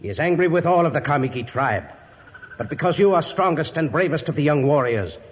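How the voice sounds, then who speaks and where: An actor playing a stern, scheming high priest of a moon god in 1950s radio style, solemn and commanding. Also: male voice, animated, fairly quick, breathy edge, lo-fi sound. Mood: solemn, menacing. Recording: old radio recording, long reverb, music behind.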